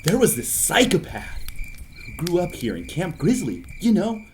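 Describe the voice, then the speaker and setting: A man acts out a young male camp counselor, brash and mocking, in an amateur audio drama. The voice is pushed higher and louder, with exaggerated, taunting delivery. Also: wildly swooping pitch, moderate pace, soft background music. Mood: cocky, teasing. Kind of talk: hobby audio drama acting.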